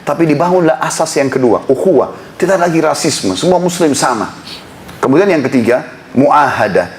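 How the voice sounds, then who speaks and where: A man's voice: on the high side, expressive, moderate pace, a little hiss or hum. Impassioned male preacher, echoey hall. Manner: impassioned, rising to emphatic exhortation. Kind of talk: Islamic sermon lecture. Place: prayer hall in a building.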